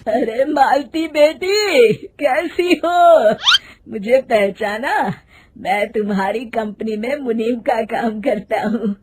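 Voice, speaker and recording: A woman's voice: on the high side, takes it slowly, darkish tone, slight background noise. A woman voicing a kindly, worried elderly male company accountant in a moral story. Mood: friendly greeting.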